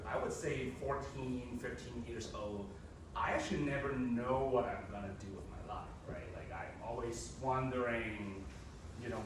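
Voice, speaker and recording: A man in his thirties from Hong Kong, giving a conversational public talk to students and speaking to them directly. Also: mid-range voice. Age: 30s. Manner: conversational, addressing the audience directly. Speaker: guest speaker. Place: lecture room. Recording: roomy, distant mic with background noise.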